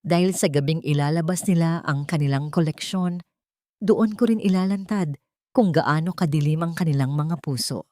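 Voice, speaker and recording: A determined, wronged country mother bent on revenge, acted by a woman narrator with a Filipino accent. She speaks intensely in a low register, in a dry audiobook recording. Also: moderate pace, mellow, dry recording, natural voice. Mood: resolute, vengeful. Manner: intense, resolute. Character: wronged, determined provincial mother and weaver, vengeful.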